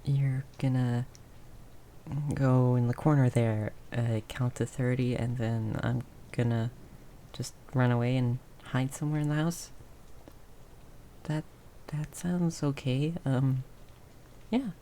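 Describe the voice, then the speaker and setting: A woman voicing a tiny timid mouse person in an improvised ASMR roleplay, soft and breathy, stammering nervously and often slipping into a whisper. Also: rumbling low, flat delivery. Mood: shy, nervous. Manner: nervous stammering, halting and repeating words. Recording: faint background noise with rain ambience. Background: rain sounds.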